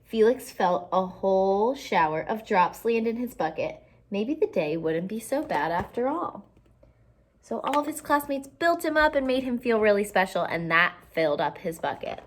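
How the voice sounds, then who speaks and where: A woman's voice: medium pitch, moderate pace, slight background noise. Woman reading a picture book to children. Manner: expressive, explains simply to young listeners. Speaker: teacher reading aloud to children. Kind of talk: children's picture book read-aloud with explanatory asides.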